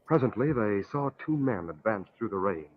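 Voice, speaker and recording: A man's voice: on the low side, lively intonation, moderate pace. A man narrating a scripted story as an announcer in 1940s radio style. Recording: tinny, faintly noisy old radio recording.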